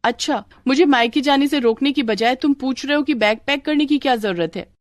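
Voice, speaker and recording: Cartoon bratty young wife, played by a woman, high, whiny, dramatic, dry sound. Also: brisk, no room sound, close to an everyday voice. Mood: indignant, stubborn. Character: childish, lazy, melodramatic, stubborn young married woman.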